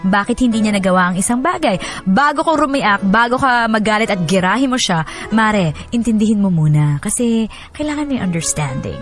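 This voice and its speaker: A woman hosting a radio show in an expressive, storytelling way. Her voice sits at a middle pitch, with faint music behind her. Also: brisk. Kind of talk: radio advice and dramatic letter reading.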